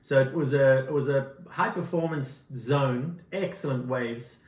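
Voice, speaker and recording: A man's voice: medium pitch, unhurried, echoey room. A man from South Africa with a South African accent, a guest telling surf stories in a laid-back, self-deprecating way. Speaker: podcast guest, surfboard shaper. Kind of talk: casual chat.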